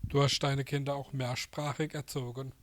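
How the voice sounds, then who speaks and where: A man's voice: mid-range voice, little pitch movement, moderate pace, no echo. Man, Alemannic Baden accent, studio host, rambling, casual, dry close sound. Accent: Alemannic Baden German. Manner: loose, rambling questions with fillers. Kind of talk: casual radio interview.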